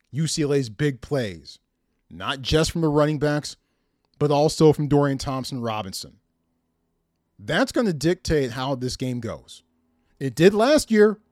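An American man hosting a sports podcast, giving preview commentary to listeners in emphatic, deliberate phrases. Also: on the high side, lively intonation, darkish tone, no echo, studio quality.

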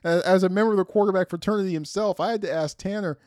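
A man's voice: high-pitched, rapid-fire, darkish tone, dry recording, studio quality. A middle-aged man hosting a sports podcast, telling game stories with enthusiastic, emphatic, conversational commentary.

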